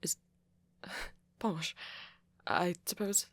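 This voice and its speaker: A man playing a shy, apologetic young vampire in an ASMR roleplay, with a posh British accent and a hesitant, stammering, soft-spoken way of talking. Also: high-pitched, steady tone, drawn-out words, no room sound, heavily acted. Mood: bashful, awkward. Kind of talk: intimate ASMR roleplay audio.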